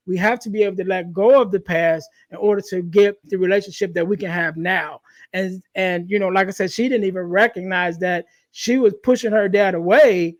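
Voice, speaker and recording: A man with a high-pitched voice hosts a conversational podcast. He speaks emphatically and often checks that he is being followed. The sound is dry, with very little room in it. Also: no echo. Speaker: podcast host.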